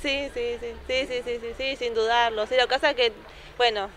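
A young woman in her twenties with an Argentine accent, speaking shyly and softly with many fillers in a street news interview. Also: a little high, fairly even intonation, moderate pace. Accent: Argentine Spanish. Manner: shy, soft, with many fillers. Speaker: new mother interviewed. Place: city sidewalk outdoors. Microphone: handheld reporter microphone. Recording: faint street noise behind.

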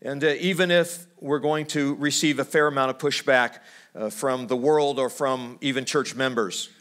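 A middle-aged man from California preaching a sermon at a church lectern, swinging from loud, high exhortation to hushed, low storytelling and restarting his phrases as he goes. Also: steady tone, moderate pace. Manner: repeats words as he restarts phrases. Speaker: pastor. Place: church stage at a wooden lectern.

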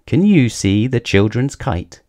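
A man's voice: mid-range voice, sing-song, unhurried, a little mellow. A man narrating a children's story aloud, expressively, with dramatic emphasis on action words.

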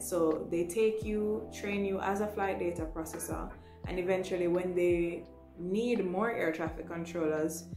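A woman's voice: lowish voice, darkish tone. A young adult woman with a Jamaican accent, giving an informal, instructive explainer to camera in a small room. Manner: instructive, often tagging points with "right" and "you know". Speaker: vlogger, trainee air traffic control assistant. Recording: roomy echo with music underneath. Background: music.

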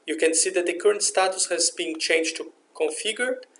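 High-pitched man narrating a tutorial. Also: normal speed, a bit bright. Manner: step-by-step, instructional. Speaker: software demo presenter. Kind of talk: scripted software tutorial narration. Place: screen recording voice-over.

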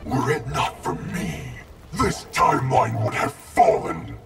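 An actor voicing a menacing, arrogant undead shadow ninja warlord in a video game, gravelly, with cold, imperious, clipped declarations. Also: male voice, medium pitch, expressive, takes it slowly. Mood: haughty. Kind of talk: video game character dialogue. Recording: loud music under the voice. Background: loud game music.

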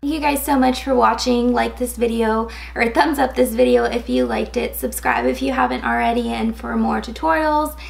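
A woman in her twenties talks through a makeup tutorial in a casual, filler-laden way. Her voice is raspy and a little hoarse from a cold, sitting fairly high with a gravelly fry. She speaks close to the camera in an indoor setting. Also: steady tone, moderate pace, few pauses. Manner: casual, chatty, many fillers like "um" and "like". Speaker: beauty vlogger. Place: indoors, in front of a gold sequin backdrop.

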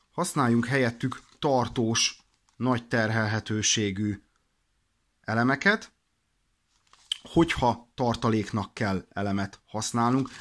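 A man's voice: medium pitch, a bit bright. A man explaining products in an informal instructional way, practical and a little hesitant in his delivery. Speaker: product demonstrator for a radio shop.

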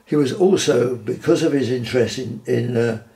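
An elderly man, giving an informal guided tour in a halting, measured, formally courteous way. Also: mid-range voice, gravelly, mellow. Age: 80s or older. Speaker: collector showing his archery collection. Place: wood-floored indoor collection room. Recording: roomy indoor echo.

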